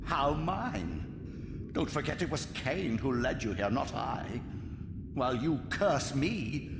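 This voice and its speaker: An actor playing a sly, pious old man in a video game, an oily schemer with a creaky, aged, grandiloquent delivery. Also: medium pitch, big pitch swings, slow, background noise, heavily acted. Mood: wheedling. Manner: oily, pleading, grandiloquent, swooping. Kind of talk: theatrical game cutscene acting. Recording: echoey hall reverb, music underneath. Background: game score.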